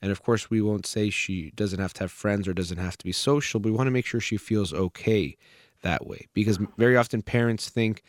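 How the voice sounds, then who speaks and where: Low-voiced man giving calm parenting advice. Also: no echo, clean studio sound. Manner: calm, measured, advising with many fillers. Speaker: child counselor. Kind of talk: consultation with a parent.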